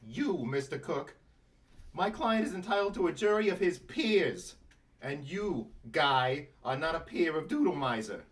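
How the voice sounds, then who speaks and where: Man playing a high-voiced, brash puppet guy. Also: animated, unhurried, distant mic. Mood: haughty, combative. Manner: confrontational, blustery. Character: brash, hot-headed male puppet with pompous swagger. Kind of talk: sitcom table read.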